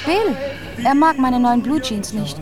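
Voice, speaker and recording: An actress in a naturalistic radio drama playing a casual, mildly guarded married housewife. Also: female voice, high voice, animated, measured pace, over music.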